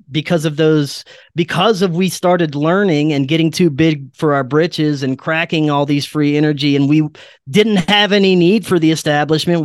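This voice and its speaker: A man's voice: a little high, dry recording, studio quality. A man speaking as a podcast guest, explaining his speculative ideas earnestly in a conversational way. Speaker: podcast guest, show host.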